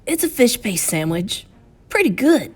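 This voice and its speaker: A woman voicing a loudmouthed, greedy young boy turned mouse, blustering and petulant, in a storybook reading. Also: fairly high pitch, big pitch swings, unhurried, breathy edge, exaggerated voice. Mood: smug.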